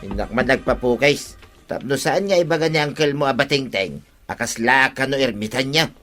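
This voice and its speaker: A man's voice: mid-range voice, normal speed, a bit bright, soft background music, close to an everyday voice. An actor playing an offended, grumbling village man in a radio comedy drama, plain-spoken, with an Ilocano Filipino accent.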